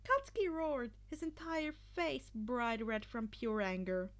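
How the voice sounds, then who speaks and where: A woman reading fiction aloud with expressive, dramatic narration and a casual, informal touch. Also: on the high side, unhurried. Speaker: podfic reader.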